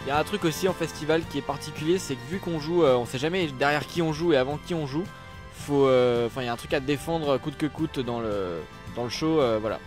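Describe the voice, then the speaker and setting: A man's voice: on the high side, fast talker. A man from a band giving a casual festival interview, speaking tentatively and hedging his opinions. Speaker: band member. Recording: music playing behind.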